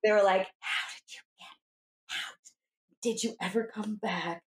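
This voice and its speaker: A woman's voice: medium pitch, fairly even intonation, slow. An American woman of fifty from New Hampshire, telling stories casually and candidly and acting out quoted dialogue. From New Hampshire, USA. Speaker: podcast guest, coach. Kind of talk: casual conversational storytelling. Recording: roomy, echoing sound.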